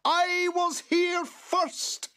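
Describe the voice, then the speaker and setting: A storyteller voicing a big hairy, quick-tempered boggart from a children's storybook, shrieking and yelling in drawn-out indignation. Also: sits high, very animated, pitched above the performer's own voice, full character voice. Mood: outraged.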